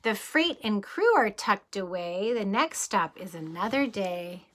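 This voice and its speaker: A woman in her thirties, a kindergarten teacher, reads a rhyming picture book aloud to young children. Her voice is bright and clear. She takes the verses slowly and in a sing-song way, then stops to ask the little ones gentle questions about the pictures. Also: medium pitch, big pitch swings.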